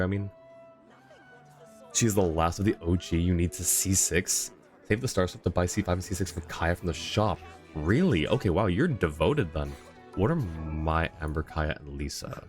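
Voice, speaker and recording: A man livestreaming a game, talking casually and conversationally as he reads out and answers chat comments. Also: low-pitched. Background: faint game music.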